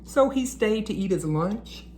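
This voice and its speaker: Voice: medium pitch, expressive, unhurried, darkish tone, slight background noise. A read-aloud host narrating storybooks and talking to children in an encouraging, teacherly way.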